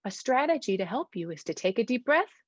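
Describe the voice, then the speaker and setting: A woman reading a storybook aloud to young children, expressive and simply phrased, stopping to ask them questions. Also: a little high, a little fast, no echo. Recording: dull, lo-fi sound.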